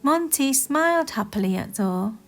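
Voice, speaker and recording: A woman reading a children's story aloud as expressive scripted narration, in a deliberate, animated manner. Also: high voice, faint music behind.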